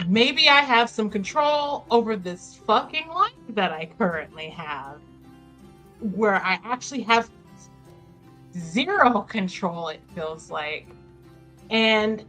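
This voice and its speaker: Bright-voiced woman roleplaying over music. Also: medium pitch. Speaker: tabletop roleplaying player. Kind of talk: improvised in-character roleplay with narration asides. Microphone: close microphone on online stream.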